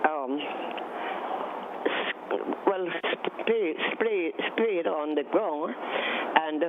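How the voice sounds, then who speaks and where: A man from Grenada calls in to a radio show, and his voice comes over a thin, tinny phone line with clear hiss. He speaks high and slow, earnest and halting as he searches for words. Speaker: caller.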